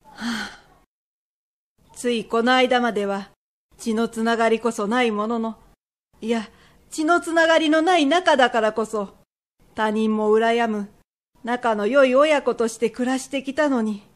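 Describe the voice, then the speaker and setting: A woman's voice: on the high side, a little slow, long pauses, close to an everyday voice. An actress playing a stern, proud merchant's wife and mother in an Edo period radio drama, speaking in firm, old-fashioned matronly phrases. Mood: troubled, wistful.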